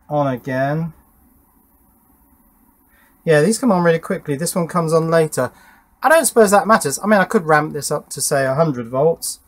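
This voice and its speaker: A man gives a casual workshop demonstration, muttering questions to himself as he works through each step in a shed. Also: fairly high pitch. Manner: muttering, self-questioning asides while working. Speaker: electronics hobbyist presenter. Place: small wooden garden shed workshop.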